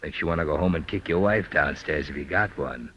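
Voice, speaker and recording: An actor in an old-time radio detective drama playing a shifty, smooth-talking fake mind-reader and blackmailer with a husky voice. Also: male voice, very deep voice, brisk, slight background noise. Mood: uneasy, confiding. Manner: formal, oily phrasing that slips into slang. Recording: dull, lo-fi old radio recording.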